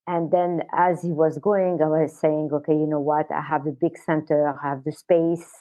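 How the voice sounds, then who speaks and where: An older woman from Morocco, a guest on an interview podcast, talking in a halting way with many fillers. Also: low-pitched, little pitch movement. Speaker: guest, wellness center founder. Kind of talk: casual interview talk. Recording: tinny phone-like line.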